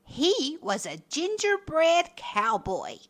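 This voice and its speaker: A woman reading a children's story aloud as a storyteller, with expressive, dramatic emphasis. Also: high voice, slow pace.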